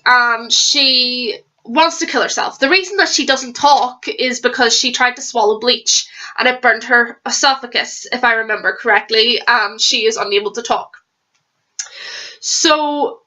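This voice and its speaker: A young woman talks to her channel in a loose, rambling book review, stuffed up with a cold. Her voice sits a little higher than most and has a bright, sharp edge. She trips over words and restarts sentences freely. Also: rough recording. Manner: rambling, chatty, with frequent restarts. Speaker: vlogger. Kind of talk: casual book review vlog.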